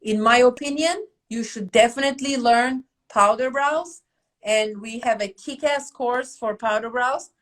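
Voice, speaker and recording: A middle-aged woman from Turkey with a Turkish accent, hosting a casual podcast chat in an animated, emphatic way. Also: on the high side, unhurried. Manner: animated, emphatic, asks rhetorical questions. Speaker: academy host.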